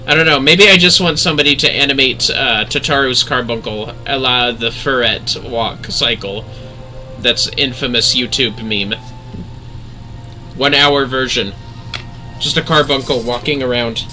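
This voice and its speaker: A man's voice: mid-range voice, unhurried, pushed and bright, soft background music, low-quality recording. A man giving casual, sweary and jokey livestream commentary on a game, often exclaiming. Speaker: livestream host.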